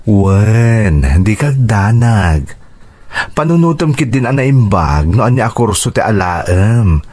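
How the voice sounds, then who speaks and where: A man's voice: on the low side, lively intonation, slow, darkish tone. A man playing a devoted, reassuring uncle in an Ilocano radio drama, speaking earnestly. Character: devoted, reassuring uncle who provides for niece, Paul.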